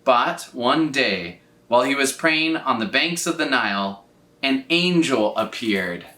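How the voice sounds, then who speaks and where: A man reading a children's story aloud in an expressive, scripted style, with dramatic phrasing and drawn-out words. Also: mid-range voice. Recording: roomy echo, distant from mic.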